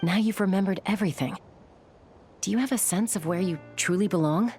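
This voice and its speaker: Actress as a mid-pitched friendly young woman. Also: brisk, darkish tone, faint music behind, lightly acted. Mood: supportive. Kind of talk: video game voice acting.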